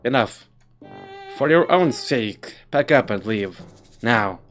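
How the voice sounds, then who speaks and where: A reader voicing a cold, menacing clan heir in a visual novel, speaking low, slow and condescending over faint music. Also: animated.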